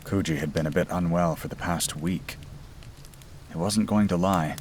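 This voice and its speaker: Low-voiced man narrating a bedtime story. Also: normal speed. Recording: clear background noise and ambience.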